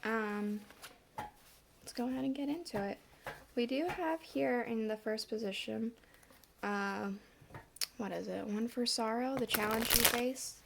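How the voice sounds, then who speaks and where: A woman giving a casual tarot reading, still congested, with a slight cough and a bit winded, talking in a relaxed, chatty way with many fillers. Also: on the high side, measured pace, creaky, pauses often, very noisy. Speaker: tarot reader.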